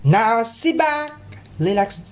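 Young student voicing an excitable cartoon bus creature, raised pitch. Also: takes it slowly. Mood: cheerful announcing. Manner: exclaiming, announcer-like.

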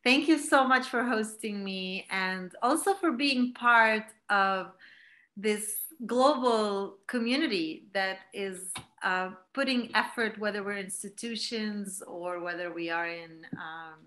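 A woman's voice: mid-range voice, slow, a bit bright. A woman in her forties from Palestine, giving a reflective online talk as a guest speaker, with many fillers and restarts, heard through a laptop on a video call. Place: home room, indoors. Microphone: laptop webcam video call.

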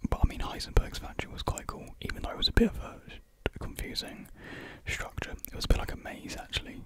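A young man speaks in a breathy whisper close to the microphone, rambling softly and hesitantly in a casual ASMR chat. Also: quick pace. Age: young adult. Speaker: ASMR video creator. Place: small room with painted block walls. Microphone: large desktop USB condenser microphone, close up.

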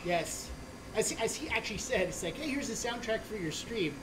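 A woman's voice: a little high, expressive, fairly quick, natural voice. A woman playing a jovial female orc butcher of a savage tribe, bantering playfully and relishing the gore on a cooking stream. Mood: hammy, cheerful. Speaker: co-host. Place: studio kitchen set, indoors. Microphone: far camera. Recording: noisy studio room audio.

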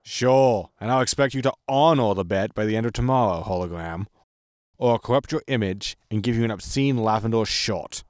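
An actor playing a grumpy, exasperated spaceship captain in a sci-fi comedy audio drama, blunt and sardonic. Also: male voice, fairly low pitch, a little fast, a little mellow, no echo, studio quality, lightly acted. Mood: impatient. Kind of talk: science fiction comedy audio drama acting.

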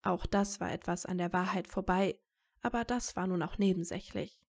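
A woman's voice: lowish voice, normal speed, gravelly. A woman reading scripted narration for a fan fiction audio story.

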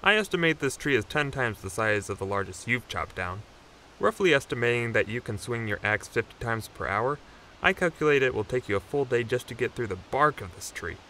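A man plays a huge blue ox who is a bookish know-it-all in an audio drama. The voice is sharp and very varied in pitch, with precise, pedantic phrasing. A light hiss sits behind it. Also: animated, fairly quick, rough recording. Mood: pedantic, skeptical.

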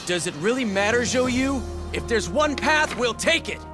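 An actor playing a bold, carefree young warlord in a video game, casual and energetic. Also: male voice, very high-pitched, moderate pace, music in the background. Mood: eager, determined. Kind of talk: dramatic video game cutscene acting.

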